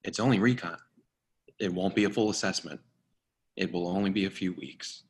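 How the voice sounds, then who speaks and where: An actor playing a stern, commanding agency boss with a firm, authoritative manner, in an online screenplay reading. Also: male voice, low-pitched, moderate pace, slightly rough. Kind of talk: online screenplay table read.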